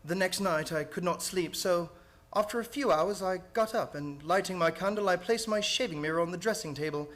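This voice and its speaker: A man acting an anxious gentleman held prisoner, speaking in earnest, formal, dramatic fashion in an old-time radio horror play. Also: high voice, brisk. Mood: uneasy, grave. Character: anxious English gentleman, prisoner narrating his diary.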